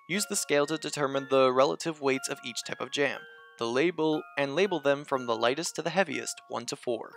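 Excitable man narrating a puzzle game. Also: mid-range voice. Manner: excitable, gushing, reads hints aloud. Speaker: let's-play host. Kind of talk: puzzle game walkthrough commentary. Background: game music.

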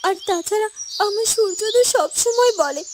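A woman's voice: very high voice, lively intonation, moderate pace, a little hiss or hum. A woman voicing a heartbroken young wife lamenting being thrown out of her home, in a Bengali cartoon. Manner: tearful, lamenting. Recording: music under the voice.

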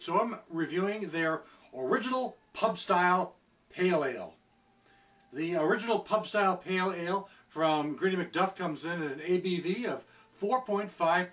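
A middle-aged man reviewing a beer at home in a casual, chatty way, often pausing on fillers as he searches for words. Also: high voice, lively intonation, fairly bright tone, recorded from a distance. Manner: chatty, hesitant with many fillers. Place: small room at home, seated indoors.

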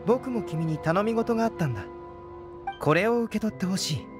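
A man voicing a composed, polite young male alchemist scholar in a game dub, measured and curious. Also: high-pitched, lively intonation. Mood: calm. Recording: game audio with clear music.

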